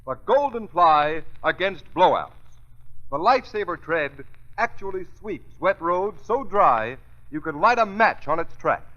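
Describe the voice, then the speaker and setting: A man's voice: sits high, animated, measured pace, bright, forceful tone. An American man reading a scripted 1930s radio commercial as announcer. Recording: dull old 1930s radio recording.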